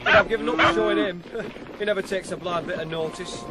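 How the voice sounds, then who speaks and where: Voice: mid-range voice, fairly even intonation, fairly quick, heavy background noise, natural voice. An actor playing a friendly, cheeky young farm lad with a Northern English accent, blunt and easygoing in a radio drama. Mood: amiable. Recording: loud farmyard ambience behind.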